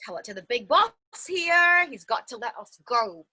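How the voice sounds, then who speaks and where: A woman acts out an anxious yet determined female fugitive in a one-act stage drama. The voice sits high, with a sharp, bright edge. The delivery is theatrical and insistent. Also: very animated, moderate pace, exaggerated voice. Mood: wistful, playful. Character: anxious but determined woman fleeing a flooded underground shelter. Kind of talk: dramatic stage-play dialogue.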